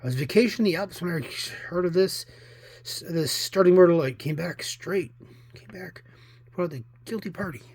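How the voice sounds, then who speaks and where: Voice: mid-range voice, moderate pace, a little mellow, husky, no echo, pitched above the performer's own voice. A reader voicing a blustering, boastful inventor in a comic book story, pompous and accusing.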